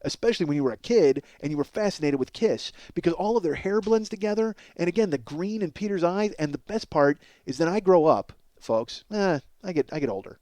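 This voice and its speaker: A middle-aged man hosting a comedy podcast with a rambling, excitable monologue, self-mocking and profane, often stammering over repeated words. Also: high voice, brisk, few pauses, no echo.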